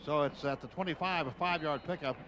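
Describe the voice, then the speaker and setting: A man's voice: fairly high pitch, fairly quick, fairly bright tone. An American man calling live football play-by-play on the radio in short, clipped phrases. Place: outdoor football stadium broadcast. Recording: noisy stadium radio broadcast.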